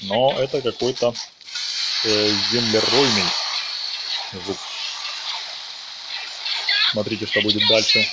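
A man's voice: lowish voice, fairly even intonation, takes it slowly, pauses often, heavy background noise. A man giving casual gameplay commentary, talking to viewers and dropping in short interjections. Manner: talks to viewers, exclaims in interjections. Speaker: gaming video narrator. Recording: music behind the voice. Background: game music.

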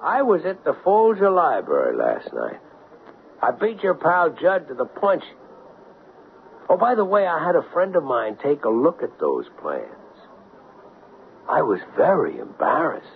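An actor playing a tough, wry ex-police sergeant in a radio drama, sardonic and probing. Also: male voice, a little high, wildly swooping pitch, unhurried, vocal fry, full character voice. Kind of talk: 1970s radio drama acting. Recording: dull lo-fi 1970s radio broadcast, hissy.

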